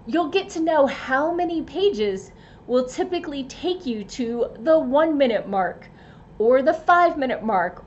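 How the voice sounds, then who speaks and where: High-pitched, expressive woman presenting tips. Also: dull audio, slight background noise. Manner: expressive, careful emphatic delivery with playful asides. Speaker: writer and presenter giving tips. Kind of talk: scripted advice narration.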